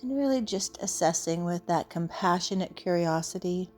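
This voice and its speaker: A woman leading an unscripted guided meditation in a soothing, gentle, unhurried way with long pauses. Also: lowish voice, expressive. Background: soft background music.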